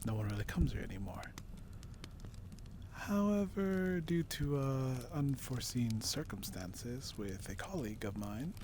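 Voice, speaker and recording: A man playing a seasoned, wry dungeon explorer in a dark fantasy ASMR roleplay, drawling theatrically and insinuatingly. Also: mid-range voice, animated, unhurried, slight background noise, lightly acted. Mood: wry, sly. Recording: roomy echo.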